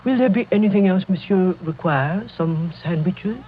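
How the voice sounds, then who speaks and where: An actress playing an ominous chateau housekeeper in a radio mystery, with a French accent and a formal, measured manner. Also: female voice, fairly low pitch, close to an everyday voice. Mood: ominous formality. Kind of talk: 1940s radio mystery acting. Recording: muffled, noisy 1940s radio recording.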